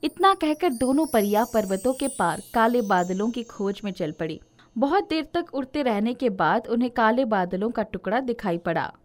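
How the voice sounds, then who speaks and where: A woman's voice: a little high, lively intonation, normal speed, soft background music. Female storyteller narrating a fairy tale. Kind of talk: scripted children's story narration.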